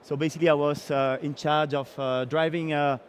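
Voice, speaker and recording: A man from Belgium, middle-aged, pitching his startup on stage with a slightly raised voice for a man. He keeps halting with fillers and restarting his sentences. There is faint traffic noise outside. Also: level tone. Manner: hesitant, many fillers and restarts. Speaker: startup founder.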